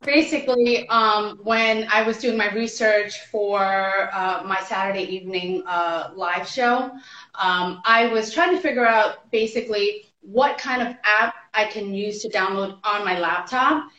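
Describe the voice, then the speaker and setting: Casting: bright, sharp-toned American woman in her thirties, chatty guest, echoey room, dull lo-fi call sound. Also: mid-range voice, measured pace. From United States. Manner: chatty, many fillers like um. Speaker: guest, fitness entrepreneur. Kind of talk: casual interview over a video call. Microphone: video call.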